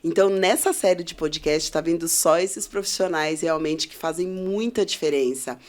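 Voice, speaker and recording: A woman's voice: on the low side, normal speed, a little mellow. A woman hosting a professional podcast interview, enthusiastic and exclamatory, prompting her guest with questions and asides to the audience. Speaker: podcast host.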